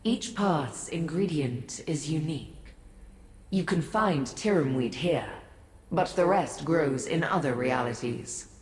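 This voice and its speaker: An otherworldly, weary goddess from a fantasy game, regal and mysterious. Her low voice moves very slowly and formally through a long echoing reverb, over faint ambience. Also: lively intonation, a little mellow. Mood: regal, measured. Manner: measured, formal, grave. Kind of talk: scripted fantasy game dialogue. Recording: echoey reverb, faint noise.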